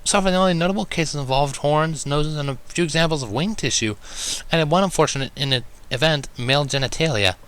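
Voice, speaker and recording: A man's voice: a little high, a little fast, fairly bright tone, clean tone, pitched above the performer's own voice, natural voice, poor audio. A man voicing a studious young female unicorn who explains things in a careful, earnest, bookish way. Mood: explaining.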